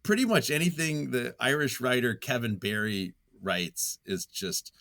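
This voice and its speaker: A man's voice: mid-range voice, unhurried, a bit bright, no echo, clean studio sound. A man speaking as an interview guest in casual conversation, searching for his words and chuckling now and then. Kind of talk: casual book-recommendation chat.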